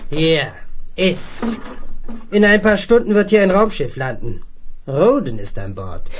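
A greedy, bullying foster father in a seventies sci-fi radio play. The voice sits fairly high and swings widely between sneer and bark, with faint noise behind it. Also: very animated, a little slow. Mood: scornful, menacing. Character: brutal, greedy, scornful adoptive father in space colony. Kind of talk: 1970s German radio play acting.

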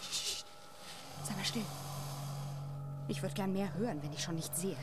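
A woman's voice: medium pitch, a little slow, lightly acted. An actress playing an anxious, reluctant young woman in the woods at night in a radio drama, colloquial and protesting. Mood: anxious, stubborn. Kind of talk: naturalistic radio drama acting. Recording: noisy outdoor ambience.

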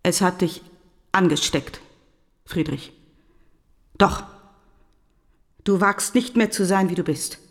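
An actress playing a middle-aged, worried mother of a returned soldier in a radio drama, plaintive and gently reproachful. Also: on the low side, takes it slowly, long pauses. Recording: faint music behind the voice. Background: faint music.

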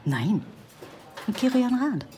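An actress playing a cheeky young student in a radio drama, telling gossip briskly and mimicking others. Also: female voice, a little high, very animated, warm tone, slight background noise. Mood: coy, teasing. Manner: brisk storyteller, mimicking gruff quotes. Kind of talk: German radio drama acting.

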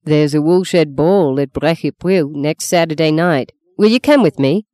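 A woman's voice: low voice, a little slow, dark tone, no echo, clean studio sound. A woman voicing a charming, cocky young stockman who talks in a teasing, self-assured way. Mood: breezy.

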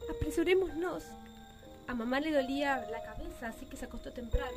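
Woman acting teen girl in love, nervous, earnest, radio play, high-pitched, music bed. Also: fairly quick. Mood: eager. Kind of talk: live community radio drama.

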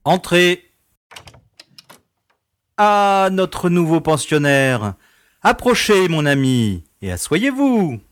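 An actor playing an affable, patronising prison director in an audio drama. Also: male voice, a little high, very animated, slow, long pauses. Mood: genial. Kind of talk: French audio drama acting.

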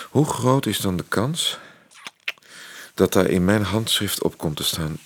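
A man's voice: deep voice, slightly rough, full character voice. An actor playing an impulsive, amorous intellectual womanizer in a radio drama, effusive and courtly in manner. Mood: musing.

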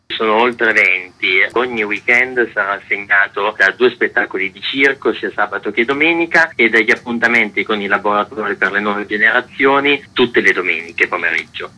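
Bright-voiced man, low pitch, on radio. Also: rough recording. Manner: measured, with restarts and fillers. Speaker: festival organizer. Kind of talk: radio interview answers. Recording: radio broadcast.

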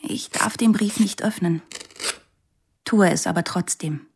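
An actress playing an anxious but determined teenage music student in a radio drama, confiding plainly in monologue. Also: female voice, on the low side, a little slow, vocal fry, darkish tone, breathy edge, exaggerated voice. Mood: tense, secretive.

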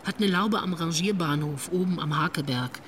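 A woman's voice: lowish voice, normal speed, a bit bright, slight background noise, lightly acted. An actress playing a wry, worldly police investigator in a radio drama, telling what she knows in a knowing manner. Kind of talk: naturalistic radio drama acting.

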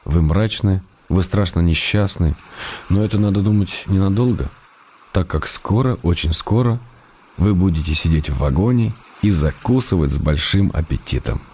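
Mellow, gently wry writer. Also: male voice, deep voice, expressive, normal speed, slight background noise. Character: gently wry, fond male writer answering an actress's letters. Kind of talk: Russian radio drama, read-aloud letters. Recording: music behind the voice.

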